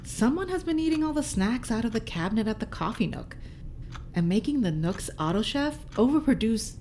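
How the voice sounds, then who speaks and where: A woman's voice: on the high side, big pitch swings, brisk, darkish tone, slight background noise. A woman playing a breezy, teasing and evasive crew member aboard a spaceship in an audio drama. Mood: cagey, sassy.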